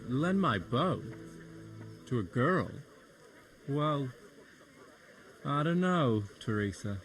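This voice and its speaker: A man's voice: mid-range voice, animated, a bit bright, faint music behind, heavily acted, rough recording. A young man playing a boastful but bashful medieval village lad in a video game, talking hesitantly. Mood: doubtful. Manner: slow, hesitant. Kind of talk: video game cutscene acting.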